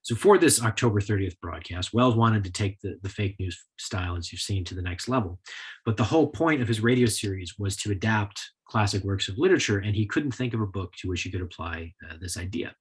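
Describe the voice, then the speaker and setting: A man giving a prepared history lecture in a measured, explanatory style. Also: fairly low pitch, expressive, husky. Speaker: lecturer, author.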